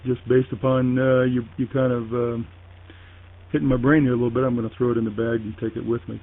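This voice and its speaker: Tinny, monotone man with creaky voice. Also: mid-range voice. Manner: halting, deliberate, pausing often. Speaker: ham radio operator in a contact. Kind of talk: casual two-way radio chat. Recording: tinny phone-like internet radio link.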